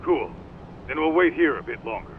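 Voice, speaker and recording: An actor playing an easygoing, confident partner in an English game dub, breezy and casual, in muffled, noisy audio. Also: male voice, on the high side, animated, normal speed, lightly acted.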